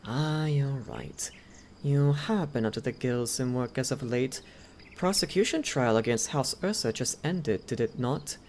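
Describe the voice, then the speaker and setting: A woman acts out a loyal, courteous head butler serving a noble lady in an ASMR roleplay. His voice sits low and moves expressively up and down, with formal, deferential phrasing. Faint insect ambience runs behind it. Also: animated, unhurried, darkish tone, a little hiss or hum. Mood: calm, attentive. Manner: polite, deferential, formal servant speech. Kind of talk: ASMR roleplay, scripted character acting.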